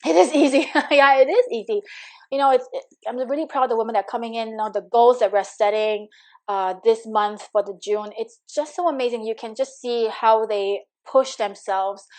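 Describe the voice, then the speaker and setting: A woman's voice: medium pitch, a little mellow. A woman with a Singaporean accent coaching a live online class, chatty and encouraging, reading viewer comments aloud with frequent fillers. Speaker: money coach.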